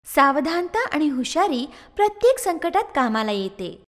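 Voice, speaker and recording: A woman's voice: sits high, big pitch swings, normal speed. A woman narrating a scripted children's story as the storyteller.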